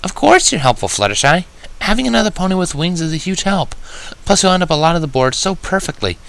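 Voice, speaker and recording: A bookish unicorn mare from a storybook, put on by a man. The pitch sits well above a usual male voice, with an articulate, kindly and wry delivery. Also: sing-song, quick pace, vocal fry, a bit bright, exaggerated voice. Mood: kind, reassuring. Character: bookish, kindly, teasing female unicorn pony.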